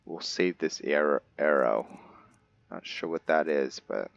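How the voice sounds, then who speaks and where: A man's voice: extremely low pitch, expressive, unhurried, gravelly, no echo, dull audio. A man narrating his video game roleplay casually, thinking aloud in fragments. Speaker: gaming video host roleplaying a character.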